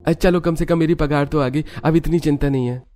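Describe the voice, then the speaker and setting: A man's voice: fairly high pitch, fairly even intonation, a little fast, warm tone, close to an everyday voice. A man playing a kindly, hardworking young father in a cartoon story. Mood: mild, earnest. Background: music.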